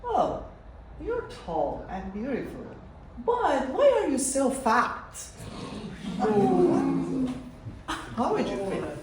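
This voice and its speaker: A woman's voice: high-pitched, wildly swooping pitch. A woman in her forties from Iran, telling a personal story in careful non-native English with an Iranian accent to a live audience. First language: Persian. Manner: slow, halting non-native English with laughs. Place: live event hall. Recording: echoey room, mic from far off, faint noise.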